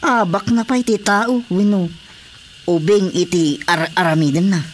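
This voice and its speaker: A man's voice: sits high, normal speed, natural voice. A man playing a disgruntled, jealous husband who grumbles and complains in an Ilocano-accented radio drama comedy. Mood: complaining. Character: disgruntled husband jealous of his wife's cat. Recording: clearly noisy radio drama recording.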